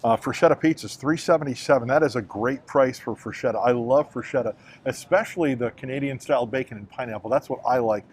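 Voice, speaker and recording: A hoarse man with a Midwestern American accent, hosting a casual shopping vlog and chatting about prices. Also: medium pitch, quick pace. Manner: chatty, talks prices aloud. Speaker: vlog host. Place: car, then grocery store aisles.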